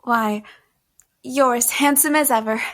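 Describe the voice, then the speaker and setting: An actress playing a wary, proper young woman in a period audio drama, with refined, measured, old-fashioned speech. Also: on the high side, close to an everyday voice. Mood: feigned composure. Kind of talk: first-person period audio drama narration and dialogue.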